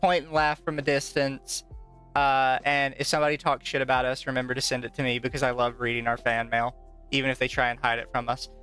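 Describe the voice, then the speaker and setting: A woman's voice: very deep voice, little pitch movement, fairly quick, fairly bright tone, clear, resonant tone. A woman co-hosting a podcast in casual chat, blunt and playful in manner. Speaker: podcast co-host. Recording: background music under voices. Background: background music.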